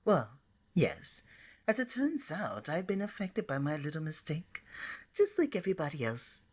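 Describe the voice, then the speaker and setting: Breathy, swooping, flirty god of love played by a woman. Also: low-pitched, very animated, normal speed, heavily acted. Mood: smitten, flustered. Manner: coaxing, playful, pet names like sweetie and honey. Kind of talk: ASMR romance roleplay.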